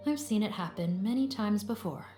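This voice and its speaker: A woman playing a composed, perceptive law officer in a video game, speaking formally and deliberately. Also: mid-range voice, echoey room.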